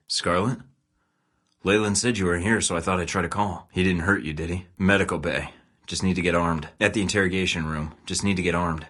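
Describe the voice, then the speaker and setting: A deep-voiced, terse secret agent. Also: male voice, a bit bright. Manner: dry, clipped, matter-of-fact. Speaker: video game protagonist. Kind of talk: recorded game voice lines.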